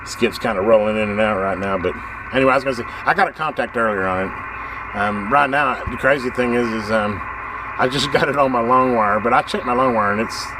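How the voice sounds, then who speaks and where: A man's voice: mid-range voice, brisk. An American man chatting casually and hands-on as a radio hobbyist, in a folksy, rambling way as he thinks aloud. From United States. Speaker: radio hobbyist presenting on his channel. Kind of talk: casual hands-on video chat. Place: workbench with radio gear. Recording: steady background noise.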